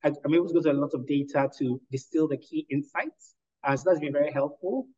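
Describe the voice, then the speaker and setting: A man's voice: a little high, darkish tone, slightly rough, muffled recording. A man from Ghana, a lawyer and entrepreneur, answering interview questions in an articulate, analytical way. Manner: articulate, analytical, measured with fillers.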